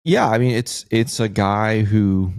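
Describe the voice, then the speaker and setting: A man's voice: lowish voice, animated, unhurried, darkish tone, no echo, studio quality. An American man co-hosting a sports podcast in casual, conversational style. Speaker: podcast co-host.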